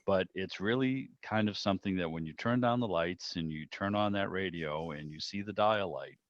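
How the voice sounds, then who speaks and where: A man's voice: deep voice, moderate pace, dry recording. A middle-aged man from the Boston area reminiscing in a chatty, rambling way on a video call. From Boston area, United States. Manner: chatty, peppered with "you know". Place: online video meeting. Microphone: video call microphone. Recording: dull video call audio.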